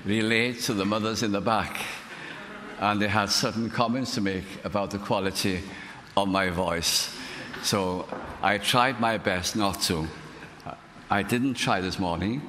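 A man with a Welsh accent whose first language is Welsh, preaching a sermon in an earnest, unhurried way, letting his voice drop softly at the ends of phrases. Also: on the low side, slight background noise. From Wales. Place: church. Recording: long reverb of a hall.

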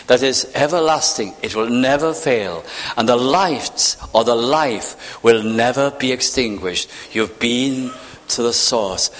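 A man preaching a sermon at a middle pitch, his voice swinging from sharp quoted outbursts down to a hushed near-whisper. His words carry a long hall reverb that hangs after each phrase. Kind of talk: evening sermon.

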